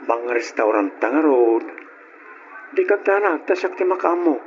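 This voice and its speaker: An actor playing an easygoing, devoted young Filipino boyfriend of modest means in a radio drama. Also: male voice, on the high side, expressive, unhurried. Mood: easygoing, sheepish. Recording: muffled, slightly noisy old radio recording.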